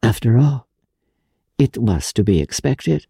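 A woman voicing a worldly, melancholy young countess, deliberate and gently ironic, in a dramatic reading. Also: extremely low pitch, sing-song, vocal fry, husky, no echo, studio quality, full character voice. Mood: wounded.

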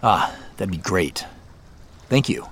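Weary wry business boss, talks slow and halting but with expressive swings, audio drama. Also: male voice, a little hiss or hum, heavily acted. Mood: reluctant. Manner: low-key, halting, wry. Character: thoughtful, hesitant business leader, wry and weary. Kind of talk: naturalistic audio drama dialogue.

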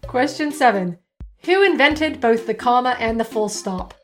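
Bright-voiced woman reading a quiz. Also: on the high side, animated, normal speed, faint music behind. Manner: playful, teasing, reads questions crisply. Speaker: podcast co-host and quizmaster. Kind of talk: reading quiz questions on a podcast.

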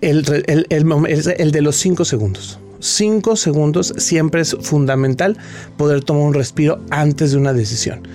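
A man's voice: a little high, moderate pace, darkish tone, soft background music. A man, a guest expert answering interview questions in an explanatory way, often restarting his sentences.

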